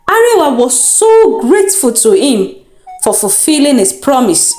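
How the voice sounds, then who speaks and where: A Nigerian woman tells a folktale in a fairly high, very animated voice. She takes her time, shaping the narration with deliberate, dramatic expression. The sound is echoey, with music underneath. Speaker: storyteller. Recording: roomy echo with music underneath.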